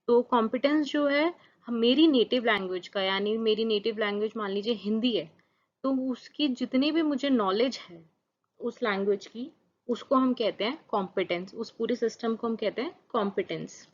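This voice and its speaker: A woman's voice: on the high side, moderate pace. Woman teaching, dull lo-fi audio. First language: Hindi. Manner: explains with rhetorical questions and repeats points. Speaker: channel host and teacher. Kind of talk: Hindi lecture on exam topics, reading English definitions slowly. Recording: dull lo-fi recording.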